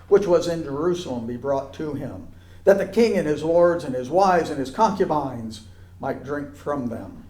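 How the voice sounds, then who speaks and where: A man with a fairly high voice reads scripture aloud in a roomy, echoing space. His delivery is solemn and declamatory, each phrase set out deliberately. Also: a little mellow. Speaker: scripture reader. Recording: echoey, roomy sound.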